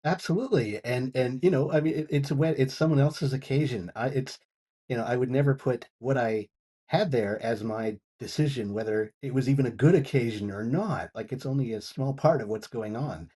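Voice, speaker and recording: A man chatting casually as a radio contributor, measured, hesitant and easygoing. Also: mid-range voice, warm tone, breathy edge. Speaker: regular radio contributor and reviewer. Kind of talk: casual panel chat.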